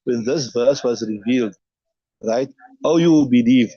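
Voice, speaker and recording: A man's voice: medium pitch, unhurried, dark tone, no echo, dull audio. A man teaching a hadith class, reading each line aloud and then explaining it step by step.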